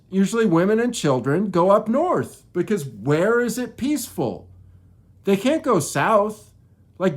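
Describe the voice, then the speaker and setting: A man delivering an informal opinion monologue in a halting, preachy manner, often repeating and rephrasing himself. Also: high voice. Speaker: video creator.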